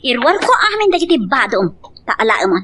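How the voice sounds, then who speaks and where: A woman's voice: high-pitched, very animated, moderate pace, exaggerated voice. A woman playing a distraught young wife and mother in an audio drama, emotional and pleading, betrayed and angry. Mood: bitter, firm. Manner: emotional, pleading, outbursts of crying and shouting. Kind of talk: amateur radio-style drama acting.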